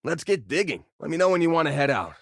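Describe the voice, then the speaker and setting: A man's voice: fairly high pitch, big pitch swings, fast, creaky, dry recording, clean studio sound, exaggerated voice. An actor playing a friendly, wisecracking male space miner and loyal crewmate in a sci-fi video game, with a casual, folksy, joking manner. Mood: easygoing.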